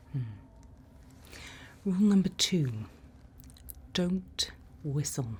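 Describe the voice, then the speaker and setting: Asmr lady, mellow and whispery, really unhurried, gently explaining stuff in roleplay. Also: sits low, slight background noise. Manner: gentle, unhurried, reassuring instruction. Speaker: ASMR roleplay performer playing a night watch guide.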